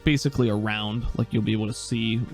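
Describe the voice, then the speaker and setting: A man running a tabletop game with a low, gravelly voice. He talks in a relaxed, halting way, full of fillers and restarts. Faint music plays behind him. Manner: relaxed, hesitant, thinks aloud with fillers. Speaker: game master.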